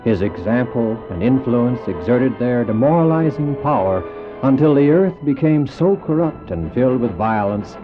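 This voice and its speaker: A man's voice: medium pitch, expressive, normal speed. A man narrating a Bible drama in a solemn, formal, scripted style. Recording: dull old cassette, music underneath.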